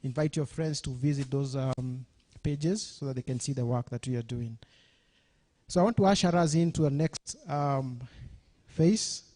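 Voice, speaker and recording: A man's voice: mid-range voice, moderate pace, dark tone. A man with a Kenyan accent emceeing a launch event, chatty and engaging the audience. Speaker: master of ceremonies. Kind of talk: event hosting.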